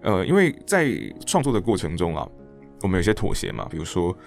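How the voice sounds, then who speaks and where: A very deep-voiced man with a Taiwanese Mandarin accent chats as an interview guest. He explains himself earnestly at a quick pace, with lively swings of intonation. Faint music plays behind him. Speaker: interview guest, stage director and actor. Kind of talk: reflective casual interview chat.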